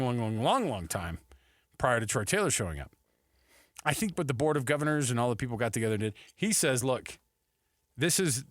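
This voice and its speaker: A man with a mid-pitched voice hosts casual sports talk in a very dry studio. He speaks conversationally and often repeats a phrase to drive it home. The microphone is close. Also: a bit bright, no echo. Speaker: sports radio host. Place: radio studio.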